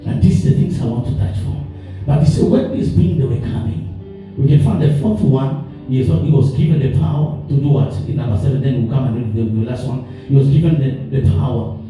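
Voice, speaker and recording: A man's voice: mid-range voice, a little fast, dark tone. A man preaching a sermon, building repeated declarations that rise in force. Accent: African English. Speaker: pastor. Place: church hall. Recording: echoey hall from far off with music.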